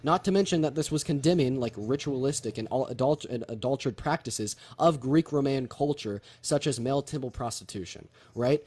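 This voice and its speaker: Male debate host, mid-pitched voice, combative and taunting, insistent, informal delivery. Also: brisk, hardly any pauses, darkish tone, slightly rough.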